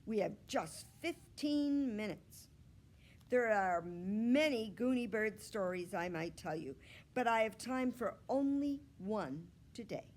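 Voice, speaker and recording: A woman's voice: mid-range voice, animated, slow, darkish tone, voice pushed up. A woman voicing a confident, theatrical little schoolgirl who loves attention, in a storybook reading, with a self-assured, showy manner.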